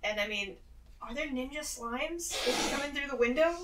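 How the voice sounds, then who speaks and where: A woman's voice: sits high, moderate pace, background noise. A woman casually talking through plans as a player in a tabletop game. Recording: echoey room, distant from mic.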